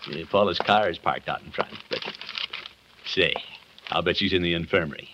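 An actor playing a pompous, boastful middle-aged suitor in a 1950s radio comedy, with a blustery, chuckling, self-satisfied manner. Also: male voice, deep voice, moderate pace, dull audio, close to an everyday voice. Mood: smug. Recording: noisy vintage 1950s radio recording.

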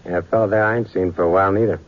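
An actor playing a grim, vengeful cowboy drifter with a laconic, folksy drawl in a radio Western. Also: male voice, deep voice, fairly even intonation, very fast, close to an everyday voice. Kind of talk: 1950s radio Western acting. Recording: tinny 1950s radio recording.

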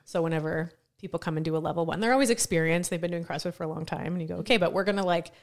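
Fast-talking woman with a low voice. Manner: chatty, laughs often, says "like" a lot. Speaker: podcast host and CrossFit coach. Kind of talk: casual interview chat.

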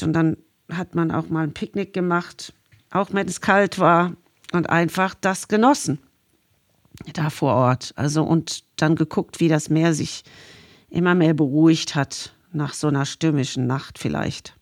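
A woman's voice: lowish voice, no echo. Middle-aged woman reminiscing, dry close sound. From Ireland. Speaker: interview guest, marine scientist. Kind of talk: conversational storytelling, reminiscing.

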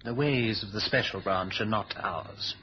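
An actor playing a dry, worried British government official in a 1970s radio spy drama, formal and understated. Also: male voice, fairly low pitch, unhurried, breathy edge. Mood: dry, resigned. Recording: dull old radio recording.

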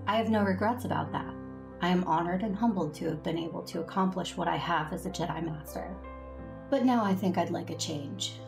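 A woman's voice: on the low side, a little fast, creaky, music in the background. A woman playing an earnest, devoted female Jedi Master, narrating an audio drama in the first person. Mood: earnest, loving.

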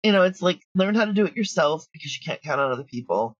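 A woman's voice: low voice, animated, fast. A woman speaking casually as an interview guest, frank, practical and emphatic. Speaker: guest, audio drama creator. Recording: roomy, dull, lo-fi audio.

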